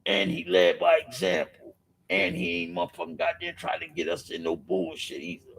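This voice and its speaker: Middle-aged man, raspy and gravelly, a bit high-pitched, emphatic, profane street talk. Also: big pitch swings, normal speed, a bit bright, slight background noise, rough recording. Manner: rambling with restarts. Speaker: podcast speaker. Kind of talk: impassioned street-life monologue.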